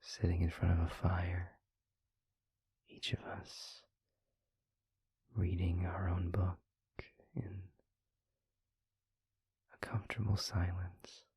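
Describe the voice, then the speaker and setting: A man playing a tender, wistful demon lover in an intimate audio roleplay, whispering softly and haltingly in a breathy, husky voice, close to the mic. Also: low voice, level tone, dry recording, heavily acted. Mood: dreamy, loving. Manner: slow, halting, soft-spoken delivery. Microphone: close microphone.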